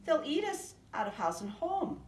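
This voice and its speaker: A fussing, scolding very old woman from a picture book, as a woman reading aloud plays her. She exclaims in a lifted, lively voice. A roomy echo and some distance from the mic surround it. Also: high-pitched, moderate pace, voice pushed up. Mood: worried.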